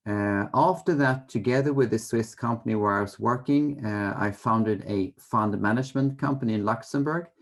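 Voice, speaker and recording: A middle-aged man from Sweden with a Swedish accent, giving measured, hesitant explanations as a guest in an interview. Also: fairly low pitch. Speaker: interview guest, fund manager. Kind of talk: conversational interview answers.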